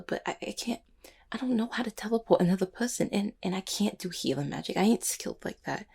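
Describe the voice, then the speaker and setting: A woman voicing an anxious, streetwise cupid in an intimate audio roleplay, slangy and sweary, with a husky, halting, emotional delivery. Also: fairly low pitch, a little mellow. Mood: shaken, halting. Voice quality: husky, gravelly. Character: anxious, guilt-ridden cupid who helps clients, streetwise.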